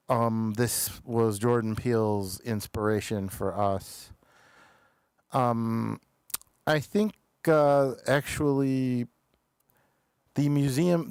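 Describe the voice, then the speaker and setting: Slow-talking man hosting a casual review chat. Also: mid-range voice, clear, resonant tone, no echo. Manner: halting, searching for words. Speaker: talk show host reviewing TV episodes.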